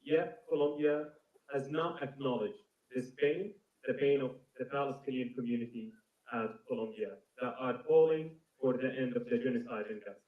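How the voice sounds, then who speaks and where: A young man from Syria, a student protester, giving a measured, earnest statement at a press conference. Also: medium pitch. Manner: measured, earnest, repeats words when searching. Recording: echoey phone-like outdoor press audio.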